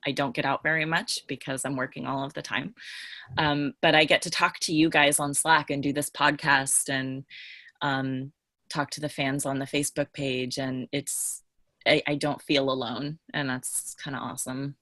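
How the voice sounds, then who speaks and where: A woman's voice: very deep voice, flat delivery, moderate pace, pushed and bright, no echo. A woman chatting casually and candidly as a podcast panelist, with an emphatic, informal and gushing way of talking. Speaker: podcast panelist and book editor.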